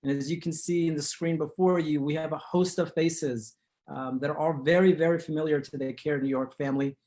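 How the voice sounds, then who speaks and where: A man hosting an online community talk show from his living room on a video call, speaking in a formal, measured way. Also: fairly high pitch, fairly even intonation, a little mellow. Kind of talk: scripted-sounding show introduction and interviewing.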